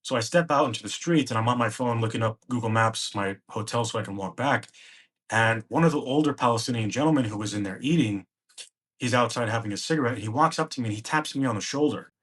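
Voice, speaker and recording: A man's voice: medium pitch, quick pace, a bit bright. A man, a podcast guest, recounting a personal travel story in present tense and acting out the dialogue he remembers. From United States.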